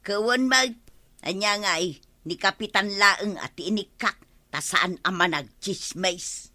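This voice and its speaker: A woman's voice: medium pitch, measured pace, sharp tone, no room sound, clean studio sound. An actress playing a talkative, indignant village woman in an Ilocano radio comedy drama, with an Ilocano accent. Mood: brisk, put out. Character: talkative village woman, indignant, gossiped about over money.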